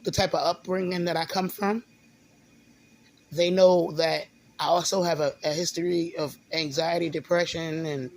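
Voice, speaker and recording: A man's voice: high voice, fairly bright tone, poor audio. Hesitant man with a sore throat. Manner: halting, repeats words, many fillers. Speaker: video blogger. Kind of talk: casual monologue to camera.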